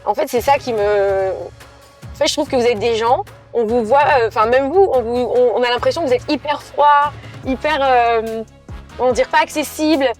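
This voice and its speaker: A woman's voice: on the high side, moderate pace, soft background music. A woman from southeastern France hosting an interview in a chatty, enthusiastic and informal way. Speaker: interviewer, influencer host. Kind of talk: casual interview chat.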